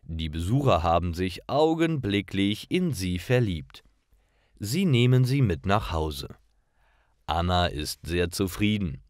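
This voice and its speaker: Slow, low-voiced male story narrator. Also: big pitch swings, no room sound, clean studio sound. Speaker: narrator of German learning stories. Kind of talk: scripted story narration for language learners.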